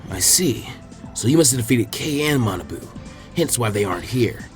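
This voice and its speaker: A cold, arrogant rogue ninja, played by a man reading the story. His voice drops lower than the narration, and every line comes out as a smug, flat sneer. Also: moderate pace, soft background music, lightly acted.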